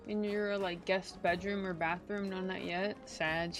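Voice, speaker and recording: A woman's voice: medium pitch, steady tone, unhurried. A woman chatting casually and slangily on a game livestream. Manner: slangy, informal. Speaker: game streamer. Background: game music.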